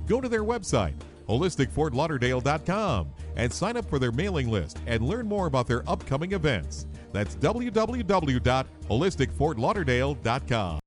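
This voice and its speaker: A man announcer reading a scripted sponsor promo for radio. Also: mid-range voice.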